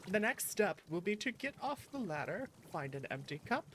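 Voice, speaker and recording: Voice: medium pitch, very animated, moderate pace, fairly bright tone, slight background noise. Streamer putting on a theatrical, cheerful tea-making instructor. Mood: cheerful, instructive. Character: cheerful game character patiently explaining tea-making. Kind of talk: reading game dialogue aloud. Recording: music behind the voice.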